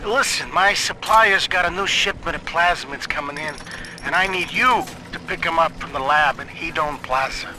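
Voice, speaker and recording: An actor playing a shifty smuggler in a video game, talking in slangy, conspiratorial fashion. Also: male voice, fairly high pitch, noisy background, natural voice. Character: shifty smuggler dealing in illicit plasmids.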